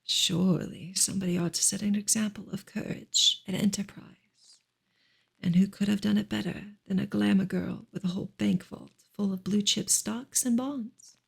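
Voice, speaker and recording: A woman reading a novel aloud in a soft, hushed, breathy ASMR style, often slipping into a whisper. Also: medium pitch, fairly even intonation, moderate pace. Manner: hushed, gentle delivery.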